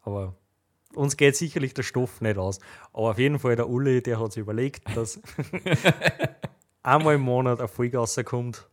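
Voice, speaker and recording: Man, thirties, Austrian accent, medium pitch, casual podcast co-host, teasing banter. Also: normal speed. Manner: teasing, jokey.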